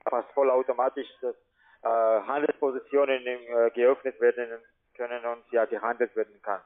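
Man, medium pitch, hesitant telesales delivery, phone-quality sound. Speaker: customer service telesales agent. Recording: tinny telephone line.

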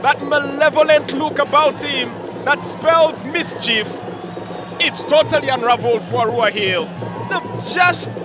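High-voiced excitable football commentator man. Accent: Ugandan English. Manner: flowery, theatrical phrasing, shouts at goals. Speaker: play-by-play commentator. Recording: broadcast mix with loud crowd and music.

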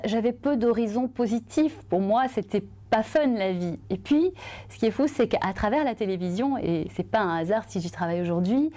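A woman in her forties from Belgium, a journalist and author, telling her own story to camera in an earnest, expressive way. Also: a little high. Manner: earnest, expressive, lively hand gestures. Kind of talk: testimonial interview to camera.

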